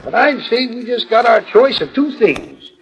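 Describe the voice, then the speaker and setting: An American actor playing a crusty, loyal cowhand with a creaky Western drawl in a 1940s radio western. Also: male voice, very high voice, big pitch swings, moderate pace. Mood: doubtful. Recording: noisy 1940s radio transcription disc.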